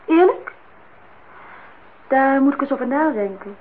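A woman's voice: sits high, expressive, a little slow, narrow, tinny audio. An actress playing a forthright, teasing young woman in a naturalistic radio drama, on a noisy old recording. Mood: teasing, challenging. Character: cool, teasing, independent young woman visitor.

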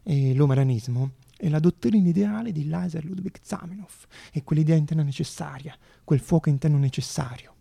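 Male theatre monologist: husky, very creaky voice, dramatic storytelling, deliberate pauses. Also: a little high, dark tone. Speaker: stage actor and storyteller.

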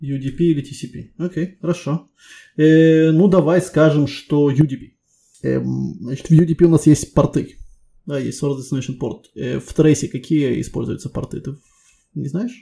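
Low-key man interviewer, slightly creaky voice. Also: steady tone, normal speed, warm tone. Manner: probing with step-by-step follow-up questions. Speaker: technical job interviewer. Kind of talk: spontaneous technical questioning.

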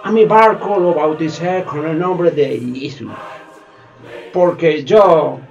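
A man's voice: on the high side, slow, darkish tone, dull audio. A man playing a boastful, greedy sea captain and slave trader in a radio play, theatrical and stammering. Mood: smug boasting. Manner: theatrical, stammering, peppers speech with English words. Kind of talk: community radio theatre acting. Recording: music bed behind the voice.

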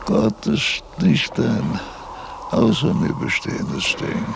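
An actor playing a frail aged philosopher, laboriously dictating abstract thoughts in broken phrases with long pauses, in a radio drama. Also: male voice, sits high, wildly swooping pitch, vocal fry, noisy background, heavily acted. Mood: weary, brooding. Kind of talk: slow, halting radio-drama monologue.

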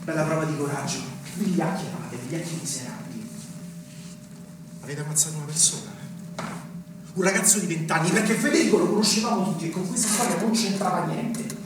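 An actor playing a bitter, self-righteous provincial politician and older brother on stage, scornful and accusing with pointed rhetorical questions. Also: male voice, fairly high pitch, brisk, lightly acted. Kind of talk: theatrical stage acting. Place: stage of a theatre. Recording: echoey theatre, distant mic, noisy.

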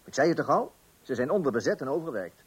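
Fussy, husky-voiced Dutch husband. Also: male voice, medium pitch, big pitch swings, fast. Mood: self-assured. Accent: Netherlands Dutch. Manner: self-assured, hesitant little ehs and repeated words. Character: fussy, indignant middle-class husband guarding his property. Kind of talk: Dutch radio play acting.

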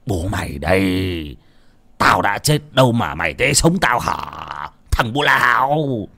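A man voicing a frail old village father in a told story, strained and breathy, scolding yet loving. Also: low voice, animated, slow pace, dry recording, voice pushed down, exaggerated voice. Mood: indignant.

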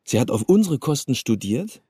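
An actor in a German radio drama playing an oily, chummy, quietly menacing East German state security officer, insinuating and bureaucratic. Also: male voice, medium pitch, big pitch swings, moderate pace, breathy edge, dry recording, studio quality. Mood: officious, insinuating. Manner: insinuating, bureaucratic, with knowing chuckles.

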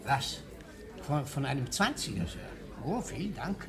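A man's voice: a little high, big pitch swings, moderate pace. A man playing an affable, chatty, deferential barman in a radio play, politely amused and a little bemused. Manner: polite small talk, amused. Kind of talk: German radio play acting. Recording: busy pub chatter behind.

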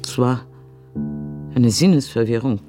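A woman's voice: rumbling low, a little slow, music in the background. An older woman with an Austrian accent acting in a radio play as a genteel mother, tender yet sharply disapproving. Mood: confused, hesitant.

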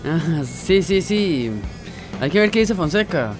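An actor playing a caring, eager young friend in a radio drama, with a Costa Rican accent and an earnest, persuasive manner. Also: male voice, high voice, lively intonation, slow pace, over music.

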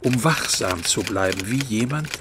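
A man narrating a scripted radio drama in a measured way with long pauses. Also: mid-range voice, lively intonation, heavy background noise, lightly acted.

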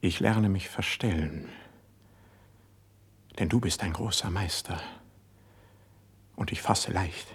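An actor playing an impassioned, suspicious young court poet in a classical verse radio drama, with a husky, declamatory delivery. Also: male voice, deep voice, takes it slowly, long pauses, heavily acted. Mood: bitter, suspicious. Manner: declamatory, intense stage delivery.

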